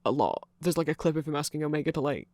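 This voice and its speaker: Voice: medium pitch, lively intonation, fast, a little mellow, no echo, studio quality. A person giving a chatty, rambling ASMR-style fan explainer in a playful, informal way. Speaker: ASMR creator explaining band lore.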